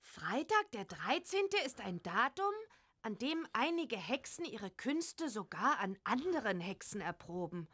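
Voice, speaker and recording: A woman playing a curious, plucky young witch girl in a children's adventure game, with simple, expressive delivery. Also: on the high side, a little slow, heavily acted. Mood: reading aloud.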